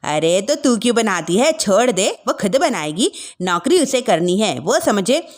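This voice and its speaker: A woman's voice: mid-range voice, very animated, a little slow. A woman voicing a stern older mother-in-law who scolds and gives orders in a bossy, commanding way. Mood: dismissive.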